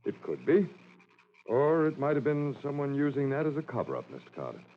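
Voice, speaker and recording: An actor playing an older, stubborn and suspicious explorer in old-time radio drama, with emphatic, theatrical phrasing. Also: male voice, mid-range voice, wildly swooping pitch, brisk. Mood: grave, suspicious. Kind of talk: 1940s radio drama acting. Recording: muffled, noisy old radio recording.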